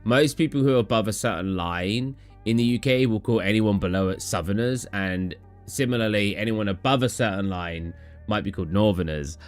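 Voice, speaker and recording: A man's voice: lowish voice, fairly quick, soft background music. A young man from England, chatting casually on a live stream and answering chat questions in a tired, rambling way. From southern England. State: tired, low energy.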